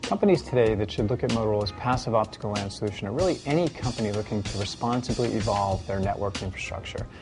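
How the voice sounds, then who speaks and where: A man's voice: on the low side, animated, fast talker, few pauses. A man speaking as a company spokesman, giving prepared promotional remarks. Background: background music.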